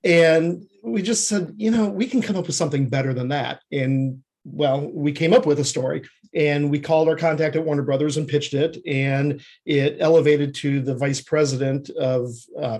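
A man with a fairly high, husky voice talks as a guest on an interview show. He tells his stories conversationally, often slipping into quoted dialogue to make a point. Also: steady tone, moderate pace, a little mellow. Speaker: podcast guest, screenwriter.

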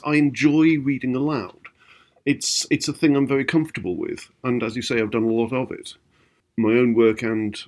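A man with a medium-pitched voice chats as a podcast co-host. His delivery is casual and halting, and he leans emphatically on repeated phrases. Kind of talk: casual chat.

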